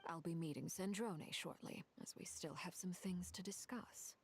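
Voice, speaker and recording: A woman's voice: on the low side, normal speed, whispered, natural voice. A woman playing a cool, courteous, commanding lady with a polished manner in a video game. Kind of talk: video game character dialogue. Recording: faint noise from game stream.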